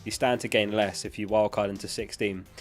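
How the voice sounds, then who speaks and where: A man's voice: lowish voice, steady tone, brisk, faint music behind. A man chatting casually as a livestream host, reading out and answering viewer questions in a matter-of-fact way, his voice starting to give out from being unwell. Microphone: close dynamic studio microphone.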